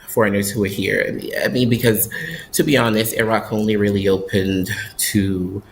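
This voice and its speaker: A man's voice: lowish voice, steady tone, normal speed, creaky, slight background noise, rough recording. A man from Barbados, a guest who lives abroad, talking casually in an interview with many fillers and restarts.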